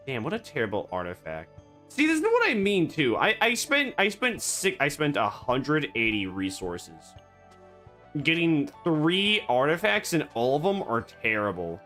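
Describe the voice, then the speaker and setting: A man streaming a game, rambling and joking in absurd deadpan banter. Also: fairly high pitch, a little slow, faint music behind. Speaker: VTuber streamer. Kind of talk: casual gaming stream chatter.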